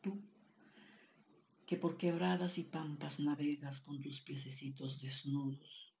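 An older woman poet with an Andean Peruvian accent and Quechua as her first language speaks slowly and solemnly. Her delivery is very even and unhurried, with some gravel in the voice. There is faint steady noise behind her. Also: deep voice. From Andean Peru. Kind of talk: introducing and reading own poetry. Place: small plain room indoors.

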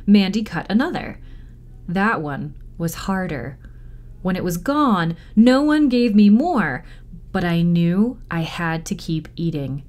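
A woman with a slightly low voice reads a story to children. She narrates expressively, as a storytime reader would. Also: a little mellow.

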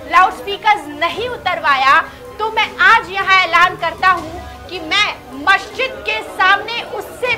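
Female news anchor, very high voice, urgent emphatic breaking news delivery over music and crowd noise. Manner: emphatic, repeating phrases for emphasis. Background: loud music and crowd sounds.

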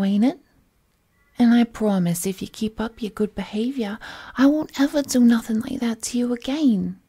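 A woman's voice: a little high, dark tone, slightly rough. A woman playing a cheerful, possessive villainess kidnapper in a roleplay audio drama, cooing and coaxing the listener in folksy slang. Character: cheerful, possessive female supervillain kidnapper, doting and unhinged.

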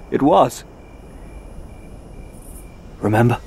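An actor playing a knight's eager, dogged younger brother in a historical radio drama. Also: male voice, medium pitch, wildly swooping pitch, extremely slow, gravelly, slight background noise, exaggerated voice. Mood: nervous, wry.

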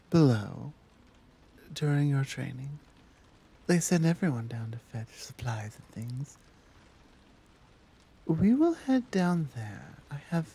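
A woman playing a caring, earnest tigress monk in a soft-spoken ASMR roleplay, with a breathy, measured, confiding delivery. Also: a bass voice, heavily acted, rough recording. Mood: discreet, inviting.

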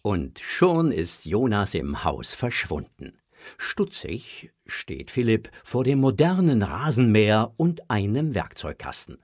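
A man's voice: fairly low pitch, darkish tone, no room sound, studio quality, exaggerated voice. A man narrating a scripted audio drama for children.

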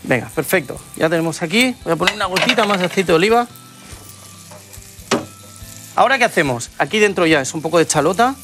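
A man's voice: high voice, animated, quick pace, long pauses, over music. A man, hosting a cooking show and chatting enthusiastically as he cooks. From Spain. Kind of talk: cooking demonstration. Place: TV studio kitchen set.

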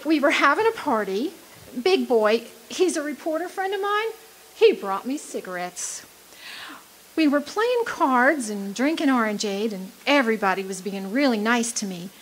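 A woman's voice: sits high, heavily acted, low-quality recording. A woman acting in a radio drama as a feisty, bewildered gold-rush singer, with a theatrical, plaintive manner. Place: live performance at a community venue.

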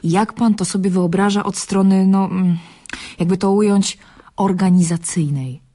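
An actress playing a shrewd, sceptical nineteen-year-old woman in a radio drama, husky, with a dry, sarcastic, blunt manner. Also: medium pitch, steady tone, measured pace, warm tone, natural voice. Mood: sarcastic, doubtful. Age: young adult. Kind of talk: Polish radio drama acting.

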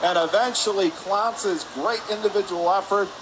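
A man doing live radio play-by-play hockey commentary in rapid, descriptive calls. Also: sits high. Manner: rapid descriptive calls, excited on scoring chances. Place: press area above rink in an indoor arena. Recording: noisy ice arena, steady background din.

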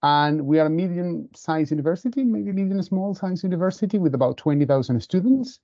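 A man's voice: high voice, expressive, fairly quick, warm tone, dry recording, dull audio. A man from Venezuela with a Venezuelan Spanish accent, presenting his university over a video call with eager enthusiasm and frequent restarts. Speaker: university international officer.

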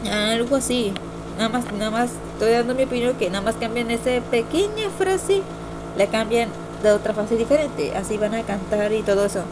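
Young girl vlogger, highish voice, rambles and repeats herself, lots of noise on the mic. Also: moderate pace. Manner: rambling, repetitive, hesitant. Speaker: amateur video reviewer. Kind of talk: casual opinion vlog. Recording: noisy amateur home computer recording.

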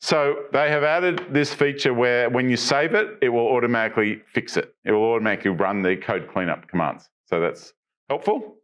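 A man's voice: mid-range voice, moderate pace, a bit bright. A middle-aged man walks through developer tool news on a studio stage, speaking into a headset mic. He keeps it chatty and loose, tossing questions to his viewers and stumbling over restarts and ums as he goes. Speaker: tech news presenter. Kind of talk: casual tech walkthrough. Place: studio stage with dark backdrop.

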